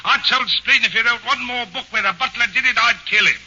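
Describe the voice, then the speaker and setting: An actor playing a servile, murderous butler in a 1940s radio comedy. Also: male voice, very high-pitched, steady tone, a little fast, bright, forceful tone, full character voice. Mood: confessing. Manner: servile, whining, keeps saying sir. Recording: muffled 1940s radio broadcast with faint hiss.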